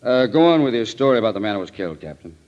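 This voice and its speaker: An actor playing a cool, unruffled man in 1940s radio drama, with an unhurried, casual manner. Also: on the low side, animated, a little mellow, close to an everyday voice. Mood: cool, probing. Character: male racing-world suspect, cool and unruffled. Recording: dull old radio recording.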